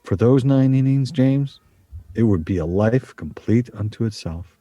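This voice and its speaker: A man's voice: medium pitch, expressive, unhurried, warm tone, no room sound, lo-fi sound. An actor playing an earnest, emphatic, wistful middle-aged man in a radio play.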